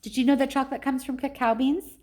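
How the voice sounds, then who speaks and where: A woman's voice: high-pitched, level tone, quick pace, darkish tone. A woman reading a children's book aloud like a teacher, with casual explanatory asides.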